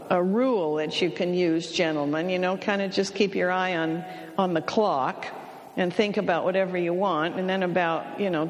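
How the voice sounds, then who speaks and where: A woman counselor giving an advice talk to an audience, speaking in a dry, wry and matter-of-fact way and gently teasing the men. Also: lowish voice. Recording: long reverb of a hall.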